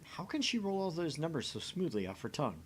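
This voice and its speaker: Male game read-along co-host, very lively intonation, jokey and theatrical, faint rumbly noise. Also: fast. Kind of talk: animated reading of game dialogue with casual banter.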